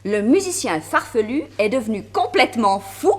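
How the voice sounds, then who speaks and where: Lively high-voiced detective's partner. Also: female voice, normal speed. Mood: curious, amused. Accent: French. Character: lively, skeptical, admiring female partner of a detective. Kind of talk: 1960s French radio drama acting.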